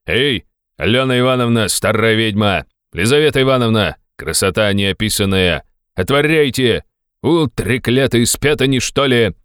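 A man voicing a gruff, bossy, impatient visitor who grumbles and bellows in an audiobook of a classic novel. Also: low voice, measured pace, fairly bright tone, dry recording, studio quality. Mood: furious.

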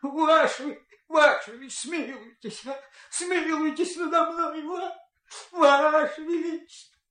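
A man's voice: very high-pitched, measured pace, bright, forceful tone, reverberant, full character voice. An actor playing a terrified old boatman who wails and pleads for mercy in a fairy-tale radio play. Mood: desperate pleading. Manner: pleading, wailing.

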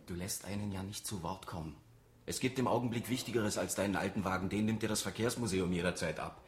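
A man's voice: low-pitched, fast, close to an everyday voice. A man acting in a radio drama as a scheming, insistent office auditor who talks persuasively and conspiratorially. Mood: impatient. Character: scheming, insistent male office auditor hiding a lottery win. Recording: roomy echo, faint noise.

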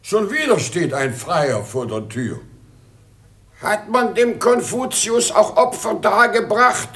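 An actor playing a sorrowful, whining old emperor and doting father in a theatrical German radio play, with sighing, drawn-out delivery. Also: sits high, animated, fairly bright tone, exaggerated voice. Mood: weary.

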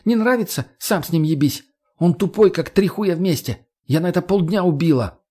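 A man voicing a brash, foul-mouthed, mocking young woman in an audiobook, with crude, lively delivery. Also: high-pitched, normal speed, voice pushed up. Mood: indignant. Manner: crude, slangy, loudly expressive.

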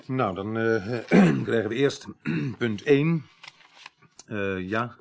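An actor playing a methodical police inspector in a Dutch radio drama, blunt and colloquial with some hesitation. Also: male voice, mid-range voice, expressive, takes it slowly, creaky. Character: plain-spoken, methodical male police inspector.

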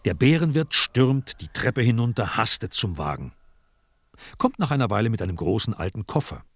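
A man narrating a radio drama as a vivid storyteller in scripted, measured prose. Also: lowish voice, very animated, husky, no room sound, studio quality, heavily acted. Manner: vivid, present-tense storytelling.

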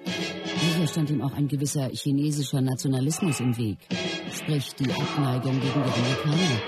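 A man's voice: mid-range voice, close to an everyday voice. A man playing an earnest associate who recounts a film star's life in scripted documentary-style radio play narration.

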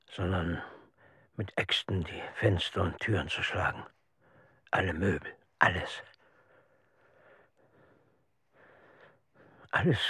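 Low husky survivor looking back, sober radio play testimony. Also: male voice, full character voice. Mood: grave. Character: sober male survivor recalling persecution. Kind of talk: documentary radio drama testimony.

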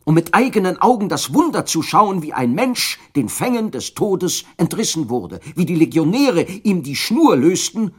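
Husky male radio drama narrator. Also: high voice, very animated, moderate pace, few pauses. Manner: dramatic, vivid storytelling.

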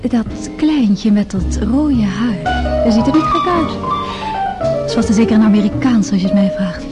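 A woman's voice: a little high, expressive, a little slow. A woman playing a teasing, level-headed dance partner in a radio drama, probing with her questions. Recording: loud music behind the voice.

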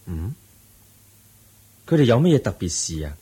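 The serene, wise Buddha. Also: male voice, low voice, very animated, moderate pace, husky, heavily acted. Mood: serene, patient. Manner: gentle, patient, questioning like a teacher. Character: serene wise Buddha, calm male teacher. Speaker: Buddha in a radio play. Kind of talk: 1980s-style radio drama acting.

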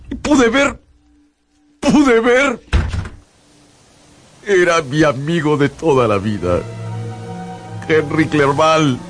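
A man's voice: a little high, fairly bright tone. An actor playing an anguished, haunted man who recounts his ordeal in a theatrical, fearful radio drama narration. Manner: theatrical, fearful, repeats words for emphasis. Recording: studio radio drama with music bed.

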